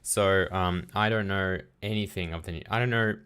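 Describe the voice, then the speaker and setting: A man's voice: deep voice, normal speed, clean tone. A young man streaming live, thinking aloud while coding and chatting casually with viewers, often trailing off into mumbles. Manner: relaxed, self-deprecating and talkative with chat. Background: keyboard typing and clicks.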